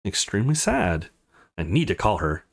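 A man hosting a let's play, reading a visual novel aloud and commenting in an expressive way. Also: sits low, moderate pace, gravelly. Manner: expressive, animated read-aloud.

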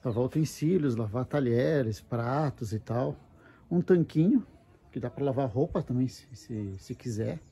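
A man's voice: medium pitch, a little slow, mellow. A man giving a casual, explanatory walking tour as a camping vlogger, speaking conversationally into a handheld mic. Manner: explanatory, conversational with frequent check-in tags. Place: outdoors at a wooded campground. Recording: noisy outdoor handheld recording.

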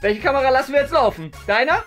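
Young guy talking fast to camera, casual vlog, keeps adding question tags, faint music behind. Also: very high voice, animated, a bit bright. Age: young adult. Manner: colloquial, frequent question tags. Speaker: video channel co-host. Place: furnished studio rooms, indoors. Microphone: camera at arm's length or a little further.

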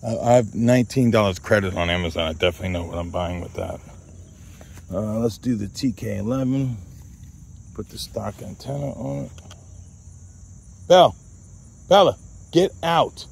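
A man's voice: lowish voice, moderate pace, gravelly, pauses often, darkish tone, breathy edge. A man hosting an outdoor radio range test, repeating test calls and calling to his dog in an open field, recorded on a body-worn camera. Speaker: radio hobbyist host.

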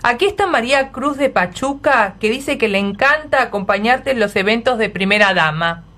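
A woman's voice: fairly high pitch, animated, normal speed, a bit bright. A woman co-hosting a casual radio interview. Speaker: radio co-host.

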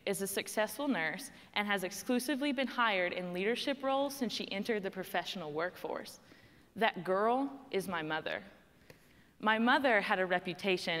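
A woman's voice: medium pitch, a bit bright, long reverb tail. Texan young woman giving a stage speech. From Texas. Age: young adult. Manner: storytelling, conversational, emphatic. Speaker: student panel speaker. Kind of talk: prepared personal speech. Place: stage in a large hall.

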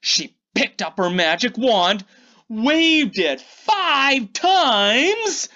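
A woman narrates a children's storybook in a theatrical read-aloud style. Her pace is unhurried and her pitch swings widely, stretching key words for emphasis. The recording sounds a little dull and lo-fi. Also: sing-song, pushed and bright.

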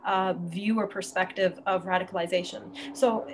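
A woman's voice: mid-range voice, steady tone, moderate pace, slight background noise. A woman in her thirties from Egypt speaking conversationally as an academic guest on a video call, thoughtfully rephrasing as she goes. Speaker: guest academic, terrorism scholar. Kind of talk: conversational interview answer. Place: indoor room at home, video call.

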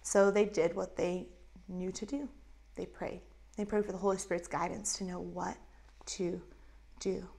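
Animated woman teaching kids a Bible story. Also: lowish voice, a little slow, gravelly, pauses often. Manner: animated, acts out voices of characters. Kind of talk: storytelling Bible lesson for children. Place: indoors in front of a map backdrop. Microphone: lapel mic.

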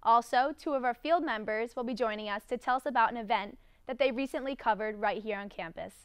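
A young woman hosting from a studio, reading a scripted introduction in a bright, clear presenting manner. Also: fairly high pitch, a little fast, dry recording. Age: young adult. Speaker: studio host. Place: indoor studio.

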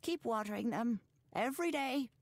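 A woman voicing a gentle, wise old grandmother with a creaky voice in a video game. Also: on the high side, expressive, normal speed, noisy background. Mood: warm, wistful. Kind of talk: video game character acting.

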